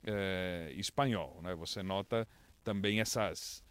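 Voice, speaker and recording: A Brazilian man, an international correspondent, who speaks with a mixed accent and tells stories with lively swings in pitch. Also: takes it slowly, clear, resonant tone, dry recording. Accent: mixed Brazilian Portuguese. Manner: animated storyteller, lively pitch jumps. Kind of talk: casual interview chat.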